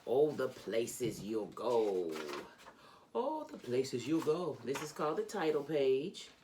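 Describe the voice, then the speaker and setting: A woman with a strikingly low voice reads a storybook aloud for children. She takes her time, going slowly through the lines. Her delivery is animated and sing-song, with lively rises and falls in pitch as she hosts the read-along. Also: noisy background.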